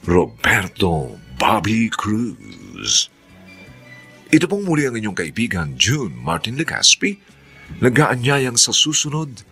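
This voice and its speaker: Stately Filipino male radio drama host, low voice with hugely animated delivery, formal scripted read over faint music. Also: creaky.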